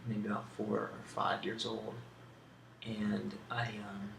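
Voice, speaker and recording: A soft-spoken man answering questions in a casual ASMR style, with a hesitant, musing delivery and pauses between thoughts. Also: fairly low pitch, monotone, gravelly. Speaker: ASMR video creator answering a question tag. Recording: roomy echo with faint background hiss.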